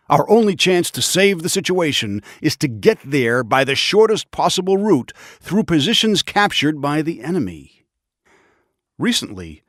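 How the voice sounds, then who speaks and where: Army officer giving a mission briefing in a war game, highish voice, super expressive, urgent and emphatic, dry studio sound. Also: male voice, no room sound. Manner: earnest, commanding, emphatic. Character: urgent, resolute Allied army officer briefing his squad.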